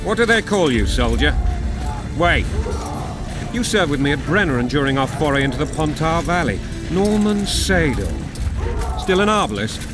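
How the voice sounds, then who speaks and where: A man's voice: on the high side, big pitch swings, normal speed, over music. An actor playing a blunt, hot-tempered, battle-hardened king in a video game, commanding and sardonic. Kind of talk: video game cutscene acting.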